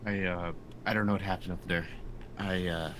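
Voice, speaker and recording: A man playing a shaken, bewildered soldier in a tabletop roleplaying game, speaking haltingly. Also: deep voice, fairly even intonation, lightly acted. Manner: halting, hesitant. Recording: clear background noise.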